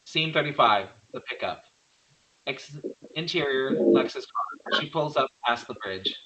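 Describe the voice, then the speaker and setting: Bright, forceful male script narrator. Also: medium pitch, expressive, unhurried, poor audio. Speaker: narrator reading stage directions. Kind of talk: scripted narration of horror screenplay.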